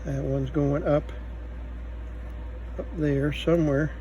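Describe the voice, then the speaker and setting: A man giving unscripted hands-on repair commentary, halting and thinking aloud as he works. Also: a little high, level tone. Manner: halting, muttering thoughts aloud while working. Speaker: vintage electronics repair video host. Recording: faint background noise.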